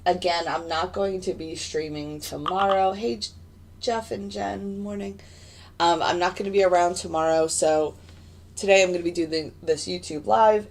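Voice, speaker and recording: A woman with a raspy voice and hiccups, chatting casually and rambling as she streams live trading commentary from her desk. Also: fairly low pitch, darkish tone. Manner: chatty, rambling, many fillers and restarts. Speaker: futures day trader streaming live. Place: home desk in front of a computer.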